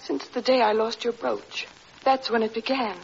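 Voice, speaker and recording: An actress playing a frightened, self-doubting wife in 1940s radio drama, anxious, halting and pleading. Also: female voice, on the high side, steady tone, vocal fry, slight background noise. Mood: frightened, distressed. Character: frightened, doubting young wife being driven to despair. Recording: dull old radio broadcast recording.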